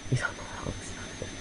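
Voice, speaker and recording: A man casually commentating his own gameplay, exclaiming at crashes and making self-mocking asides. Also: heavy background noise. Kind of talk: casual let's-play commentary.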